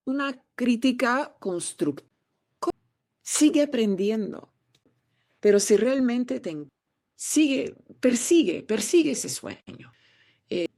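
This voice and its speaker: A woman's voice: medium pitch, a little mellow, no room sound, clean studio sound. A woman from Peru, an actress answering interview questions in an earnest, encouraging way, often restarting her words over a remote call.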